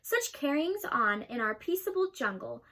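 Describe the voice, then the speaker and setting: A woman's voice: high-pitched, big pitch swings, moderate pace, pushed and bright, heavily acted. A young woman voicing a bossy, scornful female kangaroo who snaps at everyone. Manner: snappish, scornful.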